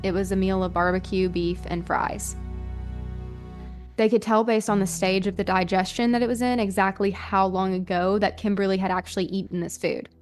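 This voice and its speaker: A woman's voice: mid-range voice, level tone, normal speed, a little mellow, soft background music. A woman hosting a podcast, telling a true-crime story in a conversational, colloquial way. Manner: casual, colloquial phrasing with frequent "like". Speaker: podcast host.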